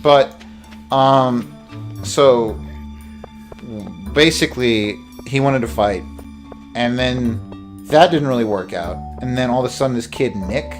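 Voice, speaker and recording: A young man telling a story casually while gaming, in a halting, rambling, slangy way. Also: mid-range voice. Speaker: let's play host. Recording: game music playing behind. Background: video game music.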